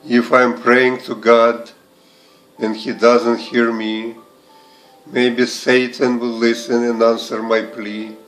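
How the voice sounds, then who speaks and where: Slow, even-voiced man reciting poetry over music. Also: mid-range voice, rough recording. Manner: deliberate, with short phrases and pauses. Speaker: poetry reader and translator.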